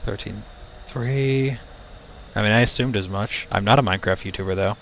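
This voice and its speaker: A young man streaming a flight game, chatting to his viewers in a low-key, monotone voice. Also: moderate pace, a little hiss or hum. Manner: low-key, talks to chat while playing. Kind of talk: casual gaming stream chat. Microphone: cheap headset mic. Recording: dull headset mic with game music.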